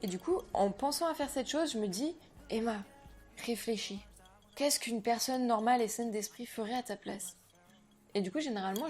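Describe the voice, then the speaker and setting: A young woman, a French native speaker, chatting to camera in a slangy, animated way, talking about herself as a vlogger. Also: fairly high pitch. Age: young adult. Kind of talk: casual chat to camera.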